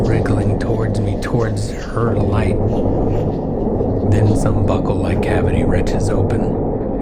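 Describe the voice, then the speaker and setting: A man narrating a first-person horror story in a grave, intense, dramatic way with drawn-out phrasing. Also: lowish voice.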